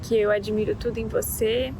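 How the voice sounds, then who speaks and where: A woman's voice: medium pitch, flat delivery, normal speed, noisy background. A woman with a Brazilian accent recording a tender, loving video message for her husband. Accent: Brazilian Portuguese. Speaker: guest's wife.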